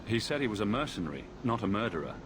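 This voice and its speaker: A man's voice: lowish voice, big pitch swings, racing, background noise. An actor playing a direct, questioning male human adventurer in fantasy video game dialogue. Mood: skeptical. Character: adult male human adventurer, frank and challenging. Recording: game audio with clear background ambience.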